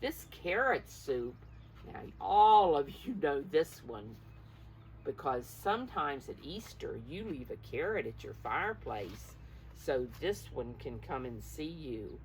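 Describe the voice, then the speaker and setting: Female preschool teacher, low voice with lively swings in pitch, encouraging and emphatic, interactive storybook read-aloud, faint background noise. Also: slow pace, poor audio. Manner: encouraging, simple explanations with questions to the listeners. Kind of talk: interactive picture-book read-aloud for young children.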